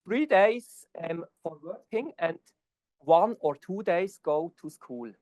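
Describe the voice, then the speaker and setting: A man's voice: high voice, lively intonation, darkish tone, no room sound, muffled recording. Swiss-accented man giving an academic talk. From Switzerland. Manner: non-native English, halting with fillers. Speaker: conference presenter. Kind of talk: academic presentation and Q&A. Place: conference hall.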